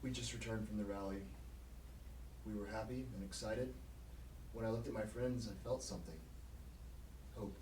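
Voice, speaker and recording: A man's voice: fairly low pitch, steady tone, normal speed, pauses often, a little mellow, natural voice. Man acting an earnest young activist, echoey recording. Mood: earnest, recounting. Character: earnest, proud young student activist and warrior. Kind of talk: audio drama acting. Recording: echoey, distant, faint background noise.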